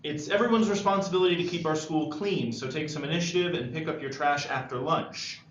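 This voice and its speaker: A man reading the part of an idealistic, easily frustrated high school senior at a screenplay table read. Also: a little fast, fairly bright tone, close to an everyday voice. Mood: bright announcer. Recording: dull echoey room, noisy.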